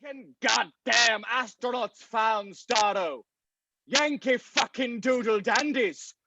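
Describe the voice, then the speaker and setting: A man's voice: very high voice, slow pace, creaky, bright, forceful tone, no room sound, heavily acted. A man playing a cynical, condescending scientist with a foul mouth in a script table read. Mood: scornful. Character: cynical, derisive scientist called the Thinker, contemptuous and callous.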